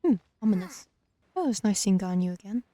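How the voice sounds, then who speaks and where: A woman gives casual gameplay commentary in a mellow, dark-toned voice at medium pitch. She is dry and wry, closely recorded with very little room sound and faint game music behind. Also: lively intonation, a little fast, dry recording. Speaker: let's play streamer.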